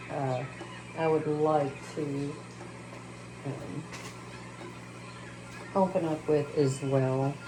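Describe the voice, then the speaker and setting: Very low, flat-voiced Texan woman. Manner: halting, many fillers, slow and unhurried. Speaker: spiritual channel host. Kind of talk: casual spiritual talk and spoken prayer. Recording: noticeable background noise.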